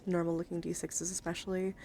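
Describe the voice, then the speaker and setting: A woman livestreamer chatting casually in an ASMR style, halting and rambling as she thinks aloud. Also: on the low side, flat delivery, mellow, a little hiss or hum. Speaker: livestreamer showing a dice collection. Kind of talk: casual ASMR chat to viewers.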